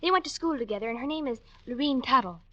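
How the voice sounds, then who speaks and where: An actress with an American accent playing a perky, teasing young secretary in 1940s radio style. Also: female voice, high-pitched, animated, fast, dull audio. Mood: playful, breezy. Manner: playful, breezy, sassy. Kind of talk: 1940s American radio comedy-drama acting.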